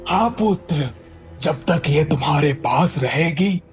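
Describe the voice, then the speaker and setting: A man's voice: on the high side, lively intonation, slow, soft background music. A man playing a kindly, serene old wandering sage in a cartoon moral story, solemn and full of blessings. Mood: grave, mysterious.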